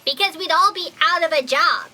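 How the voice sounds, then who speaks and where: Woman as very bright, high, excitable fact checker. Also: normal speed, full character voice. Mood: eager. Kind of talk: audio drama comedy acting.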